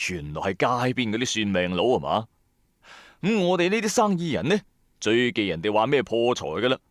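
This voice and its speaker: A man with a Hong Kong Cantonese accent telling a first-person story in an expressive, colloquial way, with chatty asides to the listener. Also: mid-range voice, no echo, studio quality. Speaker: storyteller, narrator. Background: background music.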